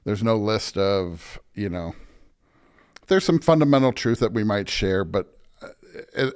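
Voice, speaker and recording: An American man with a fairly low voice, speaking as a pastor in a relaxed interview. He is reflective and halting, often searching for the right words. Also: smooth. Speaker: pastor, podcast guest. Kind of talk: casual reflective conversation.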